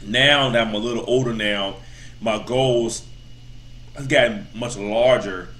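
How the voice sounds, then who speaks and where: A man's voice: fairly low pitch, fairly even intonation, measured pace, fairly bright tone. A man in his thirties giving a casual, rambling motivational talk as a vlogger, full of repeats and "you know" fillers. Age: 30s.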